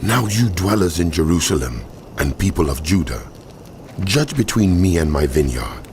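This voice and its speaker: A man's voice: low-pitched, sing-song, normal speed, a little mellow, slight background noise. A man playing God as a grave, commanding lord pronouncing judgment in a dramatized scripture recording. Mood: stern, grieved. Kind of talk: dramatized scripture audio acting.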